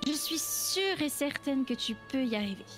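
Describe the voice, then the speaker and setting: A woman's voice: high-pitched, lively intonation, moderate pace, over music. A woman improvising reassuring in-character dialogue in a roleplay game.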